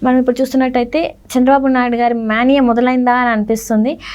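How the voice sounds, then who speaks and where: High-pitched woman interviewer. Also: little pitch movement, very fast, darkish tone. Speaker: interviewer, host. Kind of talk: political news interview with long questions.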